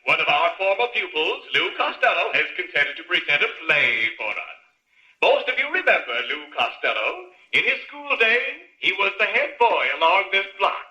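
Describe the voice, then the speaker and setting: Swooping, pompous master of ceremonies. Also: male voice, sits high, very animated, moderate pace, sharp tone, heavily acted. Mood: mock-formal. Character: pompous master of ceremonies at a school club show. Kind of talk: 1940s radio comedy announcement. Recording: echoey old radio recording.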